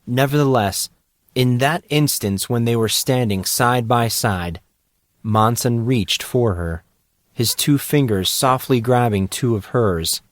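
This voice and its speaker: A man's voice: mid-range voice, slow, no echo. A man narrating a story as a scripted dramatic audiobook reading.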